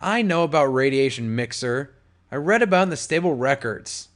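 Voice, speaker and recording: Brief: man playing an inquisitive young stallion pony with a lively, lifting voice. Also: medium pitch, fairly quick, natural voice. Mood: curious. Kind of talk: audiobook character voice.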